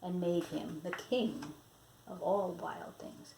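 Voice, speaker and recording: An older woman, a grandmother, reading a picture book expressively to a small child, sing-song with drawn-out words and chatty asides. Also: on the low side, fairly even intonation, gravelly, dark tone. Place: porch at home. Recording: roomy echo, faint background noise.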